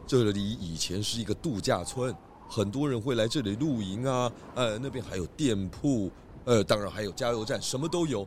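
A man's voice: on the low side, expressive, moderate pace, hardly any pauses, darkish tone, slight background noise, lightly acted. A man playing a bossy, exasperated detective chief in a children's audio drama, theatrical and prone to scolding. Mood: puzzled. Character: grown male police detective chief, bossy, exasperated, comic. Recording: music behind the voice.

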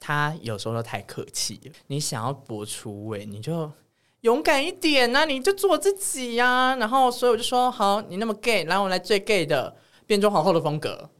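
A man's voice: high voice, animated, normal speed, fairly bright tone, smooth. A man with a Taiwanese Mandarin accent hosting a podcast in playful, theatrical, chatty banter. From Yilan, Taiwan. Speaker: podcast host. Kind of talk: casual banter.

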